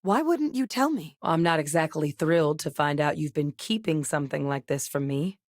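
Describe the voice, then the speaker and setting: An actress playing a determined, professional military scientist woman in a sci-fi video game, speaking in an earnest, measured and articulate way. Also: low voice, steady tone, no echo, clean studio sound. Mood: troubled, indignant. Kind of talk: scripted video game character dialogue.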